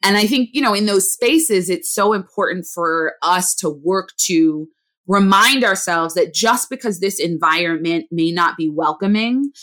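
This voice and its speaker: Lower-voiced woman hosting, affirming. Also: measured pace. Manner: warm, affirming, many "you know"s. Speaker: host. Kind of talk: interview with affirming reflections.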